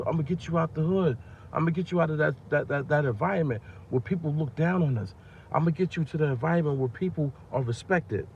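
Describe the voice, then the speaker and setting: A groggy-voiced man in his forties talks into his phone from a car at night, and his pitch rises and falls in a lively way. The sound is dull and lo-fi, with road and engine noise clearly under him. He rambles in a loose, slangy, repetitive way, heavy on fillers. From Long Island, New York. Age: 40s. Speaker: rapper and vlogger. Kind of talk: casual talking-to-camera rant.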